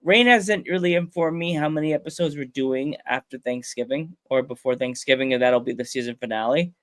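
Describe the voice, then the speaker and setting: A man's voice: medium pitch, a little fast, dry recording, studio quality. A man with a stuffed-up nose, hosting a podcast and chatting casually, laughing often. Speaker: podcast host. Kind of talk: casual chat.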